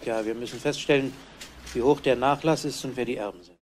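An actor playing a matter-of-fact, mildly impatient police detective in a radio crime drama. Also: male voice, mid-range voice, steady tone, a little fast, lightly acted. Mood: impatient, reasoning. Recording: clear background noise.

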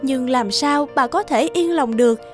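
High-voiced woman narrating a fairy tale. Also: normal speed, faint music behind.

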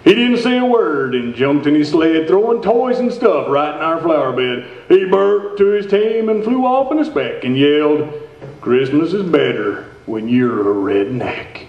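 A man's voice: a little high, expressive, unhurried. A man playing a folksy redneck storyteller and host, reading theatrically in a live stage radio show. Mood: storytelling. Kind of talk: live old-time radio show performance. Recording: roomy, dull stage recording.

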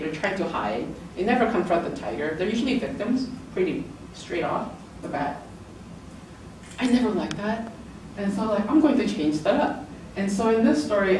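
A middle-aged woman giving an informal book talk, chatty and often laughing, lifting her pitch as she acts out quoted lines. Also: darkish tone. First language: English. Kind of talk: informal presentation. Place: lecture room, indoors. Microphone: far camera in the room. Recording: roomy, distant camera audio with noise.

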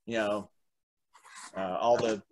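A man's voice: on the low side, steady tone, slow pace. An American man in his forties hosting and narrating a read-through over a video call from home. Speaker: host and narrator. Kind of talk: reading stage directions and trivia on a video table read. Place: room at home. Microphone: video call, computer at arm's length. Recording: noisy video call with clicks.

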